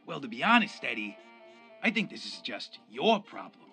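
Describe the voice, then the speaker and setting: A man's voice: mid-range voice, sing-song, normal speed, pushed and bright, faint music behind. A man playing a cocky, coolly defiant gangster lieutenant in a radio drama, with slangy, flippant, streetwise talk.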